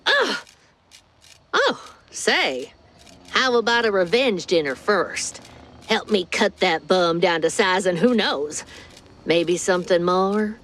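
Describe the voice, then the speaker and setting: An actress playing a fiery, vengeful scorned ex-wife, brash and theatrical, in a cartoon game. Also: female voice, mid-range voice, sing-song, slow pace, a bit bright, slight background noise. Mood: scheming, flirty.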